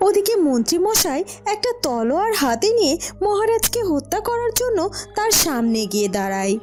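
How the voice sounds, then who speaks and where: A woman narrates a cartoon story from a script. Her voice is high, and faint music plays underneath. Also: very animated, a little fast, a little mellow. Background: faint background music.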